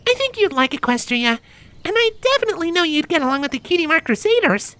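A man's voice: very high voice, big pitch swings, fast talker, bright, forceful tone, no echo, voice pushed up, exaggerated voice. A man voicing a friendly, thoughtful young female griffin with an earnest, chirpy manner, in a fantasy story reading.

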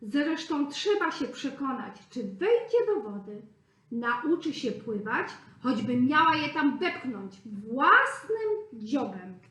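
Lady doing a mother duck, earnest and teachy, high lively voice, echoey room. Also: takes it slowly, exaggerated voice. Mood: determined. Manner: serious, instructive tone.

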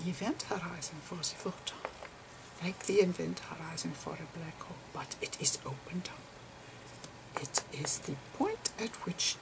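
A woman voicing a gentle, eccentric old male physicist, lecturing haltingly in a murmured ASMR role-play. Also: on the low side, vocal fry, exaggerated voice, low-quality recording. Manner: halting, whispery, murmured explanations with quaint formal phrasing.

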